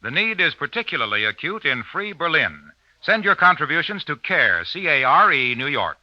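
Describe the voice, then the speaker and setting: Lively, high-pitched American radio announcer man. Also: moderate pace, no echo. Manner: polished, emphatic delivery. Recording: dry, muffled old radio broadcast.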